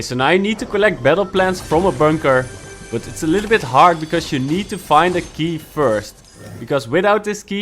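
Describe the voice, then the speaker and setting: Man explaining game challenges. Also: on the high side. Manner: steady, instructive explanations. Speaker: gaming guide youtuber. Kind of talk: game walkthrough commentary.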